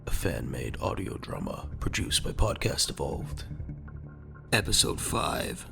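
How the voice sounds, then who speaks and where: A man's voice: extremely low pitch, big pitch swings, unhurried, creaky, over music. A man reading scripted, dramatic narration for an audio drama.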